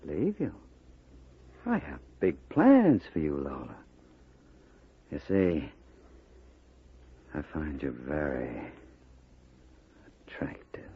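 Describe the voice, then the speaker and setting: An actor playing a ruthless gangster boss in a 1950s radio drama, mocking, commanding and coolly sinister. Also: male voice, rumbling low, big pitch swings, takes it slowly, vocal fry, long pauses, slightly rough, exaggerated voice. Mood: seductive, sly. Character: ruthless, taunting gangster boss with smooth cruelty. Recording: dull vintage radio recording.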